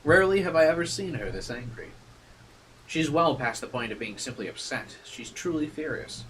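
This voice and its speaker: A man's voice: fairly high pitch, lively intonation, normal speed, a bit bright, recorded from a distance, slight background noise, rough recording. A man streaming a game, switching between casual commentary and reading the narration aloud. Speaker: streamer playing a visual novel.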